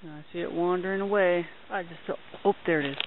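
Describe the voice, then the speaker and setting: A young man narrating a night hike outdoors into a handheld camera, chatty and excited, with mild minced oaths. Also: sits high, quick pace. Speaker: hiking vlogger. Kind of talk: hushed running commentary while filming. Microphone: handheld camera mic. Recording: tinny lo-fi camera audio with hiss.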